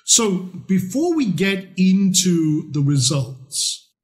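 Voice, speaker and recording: A middle-aged man with a Scottish accent, a trading course presenter giving a promotional pitch in a blunt, lively, casual way with mild swearing. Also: takes it slowly. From Scotland.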